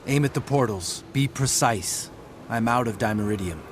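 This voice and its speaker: A man playing a brash, wry fighter in a video game, with a blunt, excitable delivery. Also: mid-range voice, moderate pace, background noise, close to an everyday voice. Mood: urgent, eager.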